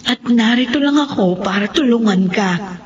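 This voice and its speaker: A woman's voice: fairly high pitch, moderate pace, slight background noise, close to an everyday voice. A woman playing a devoted, sentimental middle-aged mother in a radio drama, speaking with emotion and hesitation. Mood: tearful, tender. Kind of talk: Tagalog radio drama acting. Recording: muffled radio drama audio with music.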